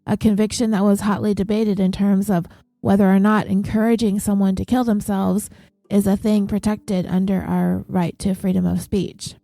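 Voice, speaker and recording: A woman narrates her true crime podcast in a steady, even read. Her voice is a little nasal and stuffed up from congestion, with a mellow, warm tone. The recording is close and dry, with no room sound. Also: medium pitch, gravelly, no room sound. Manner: reads ads and listener names in an even, steady delivery.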